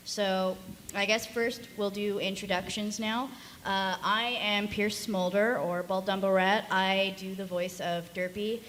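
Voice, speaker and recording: A woman's voice: medium pitch, steady tone, a little slow, a bit bright. A woman speaking informally as host of a convention panel, casual and rambling, in a large hall. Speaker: panel host, series founder and voice actor. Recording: echoey noisy convention hall PA.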